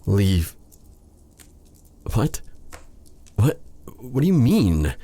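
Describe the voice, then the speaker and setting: A man playing a brooding, tender dark sorcerer in a romantic fantasy audio roleplay, speaking in a halting, intimate way with formal old-fashioned wording and a husky voice. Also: on the low side, sing-song, exaggerated voice. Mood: incredulous, stern.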